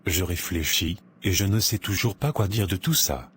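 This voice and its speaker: Man acting a very deep-voiced, troubled son. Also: normal speed, a little hiss or hum, natural voice. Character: shocked, law-minded adult son of a criminal. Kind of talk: dubbed cartoon dialogue. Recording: music under the voice.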